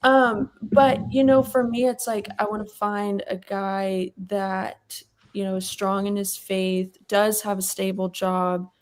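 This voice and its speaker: A woman's voice: medium pitch, level tone, slow. A young woman from Texas speaks as a panel guest, her voice sitting in the middle range. She talks chattily, with plenty of "like" and "you know." She joins over a video call. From Texas, United States. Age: 20s. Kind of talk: casual panel conversation.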